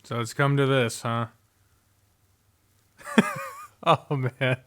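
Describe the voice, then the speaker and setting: A man's voice: mid-range voice, fairly even intonation, takes it slowly, a bit bright, a little hiss or hum. A man giving casual, rambling ranking commentary, thinking aloud and swearing freely in an informal way. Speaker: host.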